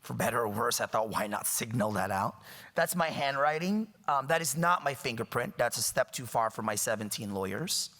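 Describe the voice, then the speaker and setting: Thirties man from the Philippines as a guest speaker, wry and emphatic, bright voice carrying through a reverberant hall. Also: medium pitch, expressive, normal speed. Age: 30s. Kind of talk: public talk. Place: lecture hall.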